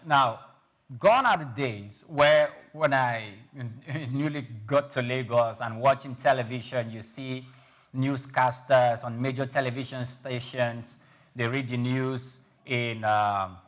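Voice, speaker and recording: Measured male interviewer. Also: mid-range voice, a bit bright. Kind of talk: interview questions.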